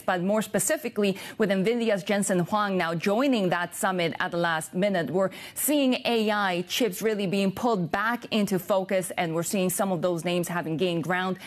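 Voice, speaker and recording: A woman markets reporter delivering a brisk, scripted news update. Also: lowish voice.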